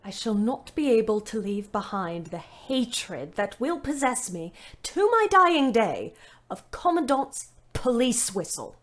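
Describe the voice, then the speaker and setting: An actress playing a weary, bitter young ambulance driver, narrating her wartime diary expressively in an audio play. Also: fairly high pitch. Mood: bitter, indignant. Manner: measured, literate, expressive. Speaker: narrator and lead character.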